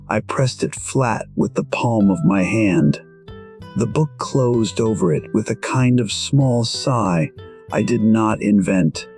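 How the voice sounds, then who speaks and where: A gentle man in his sixties, voiced by an actor, narrates his own story in an audio drama. He speaks slowly at a medium pitch, reflective, deliberate and wistful. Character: reflective, gentle 62-year-old musician telling his story.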